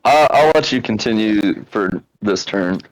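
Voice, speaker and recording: A man's voice: lowish voice, lively intonation, measured pace, rough recording. A man chatting casually as a player in an online tabletop game. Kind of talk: casual chat. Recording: faint music behind the voice. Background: faint music.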